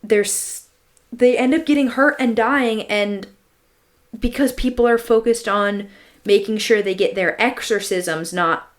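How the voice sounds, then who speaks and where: A woman's voice: mid-range voice, a little slow. A woman co-hosting a podcast, chatting and telling stories in an informal, slangy way with emphatic repetition. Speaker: podcast co-host. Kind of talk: casual chat, storytelling.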